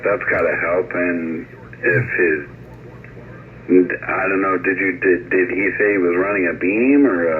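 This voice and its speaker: A thin, narrowband CB radio signal carries a low-voiced American man. He chats casually in a measured, agreeable way, with faint noise around him. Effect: heard through CB radio. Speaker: CB radio operator.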